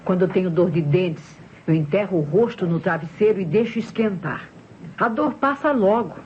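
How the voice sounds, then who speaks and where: A woman's voice: on the low side, normal speed, darkish tone, lightly acted. An actress playing a solicitous, chatty Brazilian boarding-house landlady who gives advice in an old radio drama. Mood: concerned, chatty. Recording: dull, noisy old radio recording.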